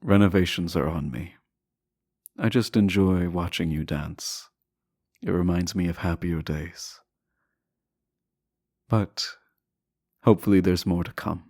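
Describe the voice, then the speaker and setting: A man playing a teasing, self-assured deposed prince in a romantic audio roleplay, speaking softly and deliberately with courtly, formal phrasing. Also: deep voice, dry recording, studio quality. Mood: gentle, wistful. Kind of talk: romantic audio roleplay acting, addressing the listener.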